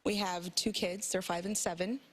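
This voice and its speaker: A woman's voice: medium pitch, steady tone, moderate pace, a little hiss or hum. A woman in her thirties, a talk show guest who tells a story in a chatty way and laughs as she talks. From Polish family in the US.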